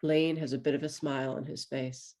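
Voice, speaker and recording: A woman's voice: a bass voice, little pitch movement, a little fast, mellow. A woman reading scripted stage directions as the narrator of a table read.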